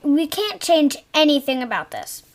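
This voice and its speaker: A woman's voice: sits high, very animated, normal speed. A young girl talking candidly with her mother on a podcast, hesitant and searching for words. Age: young, child. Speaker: guest, host's daughter.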